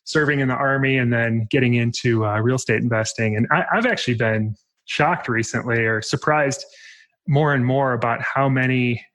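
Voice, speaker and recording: A man's voice: mid-range voice, moderate pace, fairly bright tone. A man in his thirties hosting a podcast, asking friendly, loosely phrased questions with plenty of fillers. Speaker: podcast host. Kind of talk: scripted intro, then casual interview chat.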